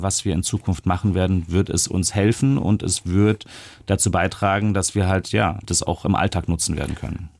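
Low-voiced German man chatting in detail. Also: vocal fry, a little mellow, no echo, studio quality. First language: German and Japanese, bilingual. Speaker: chef being interviewed. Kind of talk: casual interview conversation.

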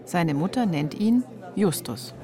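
A woman's voice: on the low side, lively intonation, a little slow, a crowd in the background. Female radio feature narrator. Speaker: reporter and narrator.

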